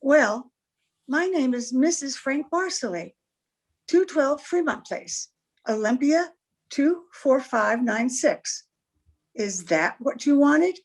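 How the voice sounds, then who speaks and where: A woman's voice: sits high, lively intonation, slow. An actress playing a mysterious, anxious married lady in an old-time radio crime drama, speaking hesitantly. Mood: anxious, guarded. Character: mysterious, frightened, refined married woman.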